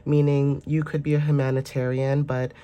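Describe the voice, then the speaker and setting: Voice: medium pitch, little pitch movement, normal speed, warm tone, clean tone. Congested, stuffy-voiced tarot reader. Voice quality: stuffy, nasal. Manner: rambling and reassuring, many fillers. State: congested from a sinus infection. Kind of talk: casual tarot reading for viewers.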